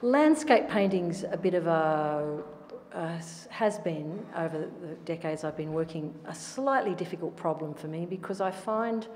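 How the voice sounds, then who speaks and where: A middle-aged woman talks about her work in a reflective, self-deprecating way. Her voice sits a little low. It echoes with long reverb and faint noise. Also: lively intonation, measured pace, darkish tone. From Australia. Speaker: painter. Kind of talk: panel discussion answer. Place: art gallery room.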